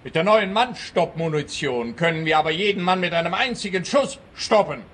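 Over clear background noise comes a blustering policeman, with a raised male voice. He is officious and dense, handing out threats like regulations in a comic radio play. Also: on the high side, lively intonation, brisk, fairly bright tone. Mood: self-important.

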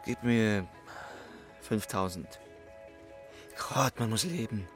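A man's voice: lowish voice, slow pace, over music. A man acting a brooding, needling swindler in love, with an intense, probing manner, in a German radio play. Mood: urgent.

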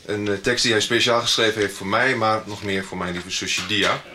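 Hesitant, sincere young performer, noisy recording. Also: male voice, fairly low pitch, fairly even intonation, pushed and bright. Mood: heartfelt, hesitant. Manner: hesitant, with pauses and fillers. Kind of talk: radio soap acting, informal speech to an audience.

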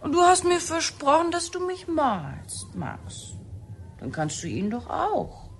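A woman's voice: sits high, huge pitch swings, takes it slowly, vocal fry, a little hiss or hum, exaggerated voice. An actress playing a bold, insistent young woman in a radio drama, coaxing and exclaiming with open emotion. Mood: coaxing, disappointed. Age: young adult.

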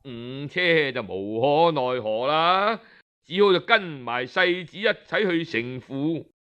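A man with a Cantonese accent telling a story in a traditional oral style, colloquial and expressive, with drawn-out particles. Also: on the high side, clear, resonant tone, highs cut off.

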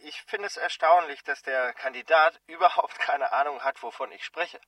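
An actor playing a pedantic, needling radio caller, precise and smug, in a radio drama, sounding set back from the microphone. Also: male voice, a little high, moderate pace, pushed and bright, far from the mic, faint music behind. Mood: smug, challenging.

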